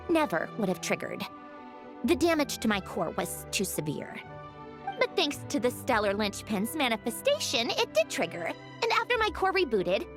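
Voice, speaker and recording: A woman voicing a haughty, matter-of-fact young puppet genius in a video game, with a precise, lecturing, slightly impatient delivery. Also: on the high side. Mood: prickly, explaining. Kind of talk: scripted video game voice acting.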